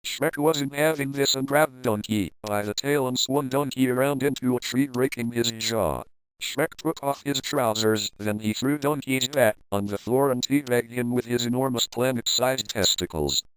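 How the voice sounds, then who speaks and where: A man's voice: medium pitch, moderate pace, clean tone, no echo, poor audio. A man reading a comic fan fiction story aloud in a playful, crude, dramatic manner.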